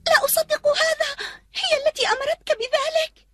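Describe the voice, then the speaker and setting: A woman playing a gentle, polite young princess in a dubbed cartoon, with a plaintive, expressive delivery. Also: extremely high pitch, normal speed, slight background noise. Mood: tearful.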